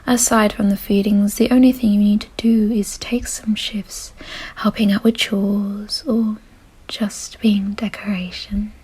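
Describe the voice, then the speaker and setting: Woman acting a slow, whispering, gentle vampire mistress. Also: medium pitch, rough recording. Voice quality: breathy, husky whisper. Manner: soothing, slow, genteel, softly possessive. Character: gentle, refined, doting vampire mistress of a mansion. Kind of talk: whispered ASMR roleplay.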